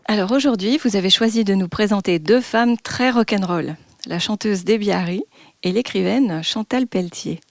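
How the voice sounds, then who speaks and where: A woman's voice: mid-range voice, normal speed, gravelly. A woman co-hosting a podcast, reading a prepared introduction and welcoming her guest. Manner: reads prepared text, warm laughs between lines. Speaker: podcast co-host. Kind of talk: scripted podcast intro and interview.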